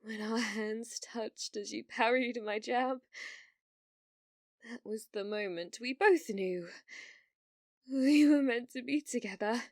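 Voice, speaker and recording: Woman voicing delusional demon lord girl, ASMR roleplay, grandiose and archaic, obsessive, unhurried delivery, dry close sound. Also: a little high, a little mellow, no room sound. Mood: wistful, smitten. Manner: grandiose, archaic, theatrical phrasing with flustered stammers.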